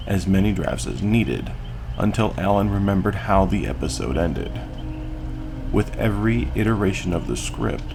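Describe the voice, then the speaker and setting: A man narrates a dark biography in a very low voice with a gravelly creak. His delivery is measured and solemn, like a storyteller. There is clear noise behind him. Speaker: narrator and series host. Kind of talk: scripted dramatic narration of character biographies.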